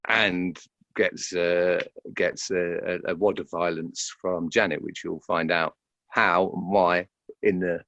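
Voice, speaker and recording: A man's voice: low voice, steady tone, slow pace, fairly bright tone, dry recording, highs cut off. A man reflecting in an interview, speaking hesitantly and repeating words as he thinks aloud. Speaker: interviewed actor.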